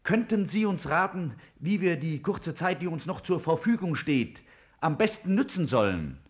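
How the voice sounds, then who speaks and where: A man's voice: a little high, big pitch swings, moderate pace. A man playing a polite, articulate scientist in a 1950s German radio play, speaking formally and reasoning carefully. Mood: polite, earnest. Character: courteous, articulate male scientist.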